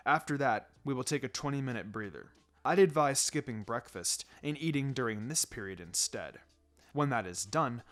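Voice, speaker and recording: A stern adult ninja teacher, acted out by a male narrator, in a story reading. He speaks low and measured, laying down rules with pleasant menace. Music plays behind him. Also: close to an everyday voice. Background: background music.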